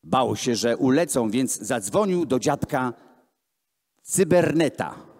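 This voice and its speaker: A man in his thirties reading children's books aloud theatrically and deliberately into a handheld microphone at a live event. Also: mid-range voice, expressive, a little mellow. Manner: theatrical, deliberate storytelling with voiced dialogue. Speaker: reader at a gallery event. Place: large gallery hall with hard floor, indoors. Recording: echoey hall, amplified voice filmed from the room.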